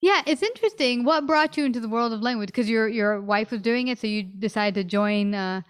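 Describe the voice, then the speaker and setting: An effusive woman hosting an interview, her voice a touch high. She piles on fillers and restarts as she keeps the conversation moving. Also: a little fast, smooth. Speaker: talk show host.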